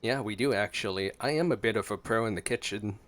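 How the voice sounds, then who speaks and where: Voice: mid-range voice, fairly quick. A streamer giving casual, playful gameplay commentary, reacting aloud to the game. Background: game music.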